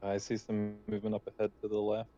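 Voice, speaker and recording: A man with a mellow, flat delivery on a slightly muffled recording. He is a player in a tabletop game, speaking casually and haltingly, often repeating words as he goes. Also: lowish voice, slight background noise. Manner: hesitant, stammering repeats.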